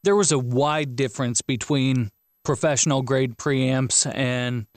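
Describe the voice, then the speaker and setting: A man's voice: medium pitch, measured pace, dry recording. Man explaining things, dry close sound. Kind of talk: unscripted technical discussion.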